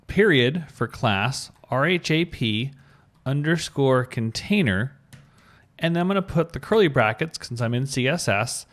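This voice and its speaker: A man presenting a screencast tutorial, narrating each step in a casual, informal way and thinking aloud as he demonstrates. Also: medium pitch. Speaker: tutorial presenter, web designer.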